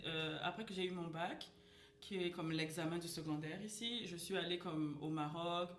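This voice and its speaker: A woman's voice: fairly low pitch, normal speed. A young woman from the Republic of the Congo chatting casually on a podcast, joking and giggling. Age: young adult. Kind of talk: casual chat. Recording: echoey room with faint noise.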